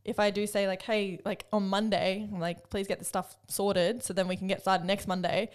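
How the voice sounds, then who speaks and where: A woman's voice: medium pitch, level tone, normal speed, clean tone. A woman co-hosting a podcast, chatting casually with plenty of fillers. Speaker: podcast co-host and coach. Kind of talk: casual chat.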